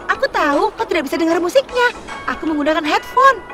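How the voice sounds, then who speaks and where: A woman's voice: very high-pitched, lively intonation, fast. A woman voicing a playful, friendly young car in a children's cartoon dub, with a chirpy, childlike, exclamatory way of talking. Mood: playful, cheerful. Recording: loud music behind.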